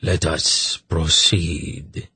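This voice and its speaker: A man voicing a cold, aged high priest in a hollow, slow, commanding audiobook voice. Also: little pitch movement, sharp tone, slight background noise, exaggerated voice. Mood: cool command. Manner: slow, non-committal, authoritative.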